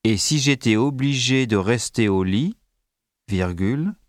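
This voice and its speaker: A man reading a school dictation text slowly and deliberately, speaking the punctuation marks aloud. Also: medium pitch, lively intonation, no echo, studio quality. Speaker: dictation reader.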